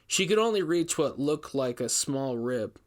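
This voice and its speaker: A male investigator, voiced by a man reading aloud recounts a grim ordeal in a horror audio story. His mid-pitched delivery is measured and dramatic, with emphatic first-person storytelling. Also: lively intonation, close to an everyday voice. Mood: grim, suspenseful.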